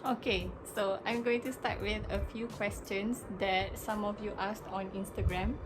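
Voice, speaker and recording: A woman's voice: medium pitch, fairly even intonation, moderate pace, poor audio. A young woman with a Malaysian accent chatting casually as a vlogger, giggling now and then and switching easily between languages. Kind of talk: casual chat with her cat. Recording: music playing underneath.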